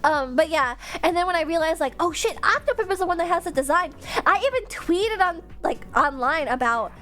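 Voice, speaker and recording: A woman with a high-pitched voice talks casually to her livestream viewers over background music. She sounds congested, as if her nose is stuffed up. Her delivery is rambling and animated, full of slang. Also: brisk. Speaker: streamer, VTuber.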